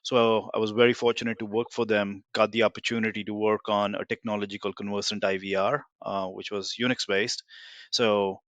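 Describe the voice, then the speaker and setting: Man, Indian accent, forties, low voice, rambling casual interview talk, self-deprecating, dry close recording. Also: flat delivery, a little fast, fairly bright tone, no echo, dull audio. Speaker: guest, tech company founder.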